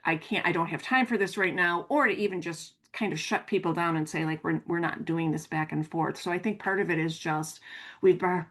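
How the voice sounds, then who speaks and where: An American woman co-hosting a podcast, explaining things conversationally in a reassuring way. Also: on the low side. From American Midwest. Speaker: podcast co-host, pet behavior consultant.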